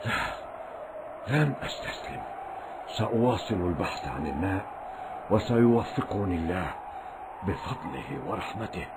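An actor playing a pious, kind-hearted desert traveler in a children's cartoon, with a theatrical, exclamatory delivery. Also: male voice, deep voice, animated, moderate pace, long pauses, very noisy. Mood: determined. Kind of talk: Islamic children's cartoon acting in formal Arabic.

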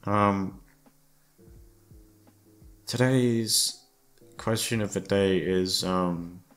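A man with a gravelly voice rambling casually, tired and downcast, hesitant and self-deprecating, swearing often. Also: low-pitched, drawn-out words. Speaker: audio creator talking to listeners. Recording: music playing behind the voice. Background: soft background music.

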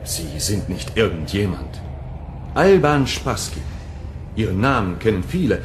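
Low male voice, man acting as concerned practical helper, earnest urging manner, sci-fi radio play, clearly noisy background. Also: big pitch swings, measured pace. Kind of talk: German sci-fi audio drama acting. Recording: clear background noise, rumbling ambience.

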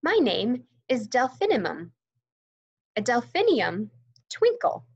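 A woman's voice: fairly high pitch, wildly swooping pitch, slow pace, breathy edge, muffled recording, voice pushed up, exaggerated voice. Woman plays encouraging teacher mouse for picture book, playful and emphatic. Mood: playfully proud. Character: kind, pregnant music teacher mouse, playfully revealing.

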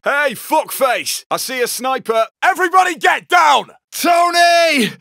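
An actor playing a brash, foul-mouthed robber in a heist video game, with an English accent and a gruff, urgent delivery. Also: male voice, very high-pitched, animated, a little slow, sharp tone, dry recording, shouting, studio quality, full character voice. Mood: urgent, aggressive. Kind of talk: shouted video game combat callouts.

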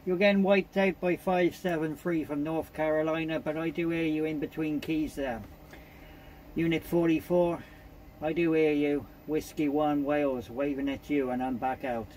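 A man's voice: fairly high pitch, steady tone, moderate pace, a little mellow, rough recording. British man making CB calls amid static. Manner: repeats callsigns and phrases. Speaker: CB radio operator. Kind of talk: CB radio contact calls. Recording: CB radio static and noise.

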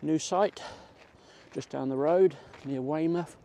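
A man's voice: on the high side, slow pace, warm tone. A middle-aged man, chatting casually as a vlogger while walking outdoors. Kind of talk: casual walk-and-talk chat. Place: outdoors in a country park. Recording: faint outdoor ambient noise.

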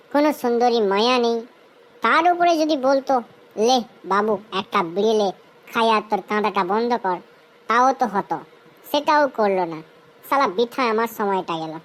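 Woman voicing a whiny, cheeky high-pitched cartoon schoolboy. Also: a bit bright, lightly acted, rough recording. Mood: annoyed grumbling. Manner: whining, cursing, colloquial rustic speech. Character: whiny cheeky mischievous schoolboy who craves a smoke. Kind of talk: comic cartoon dialogue.

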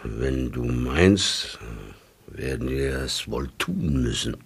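Radio play: gruff old Alpine grandfather, rock-bottom pitch, unhurried, gravelly. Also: expressive, full character voice. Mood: gruff, grudging. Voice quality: deep, gravelly. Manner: slow, terse.

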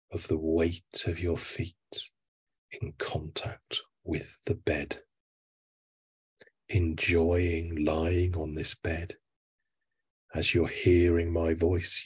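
Very slow, low-voiced male hypnotherapist. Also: breathy edge. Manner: soothing, hushed delivery with long pauses. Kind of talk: guided sleep hypnosis.

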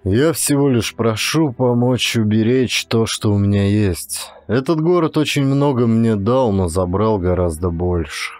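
A man's voice: on the low side, measured pace, hardly any pauses, a little mellow, no room sound, faint music behind, natural voice. A man playing a melancholy, reflective character who confides sorrowfully in an audiobook thriller. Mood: wistful. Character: melancholy, grateful man confiding about his city.

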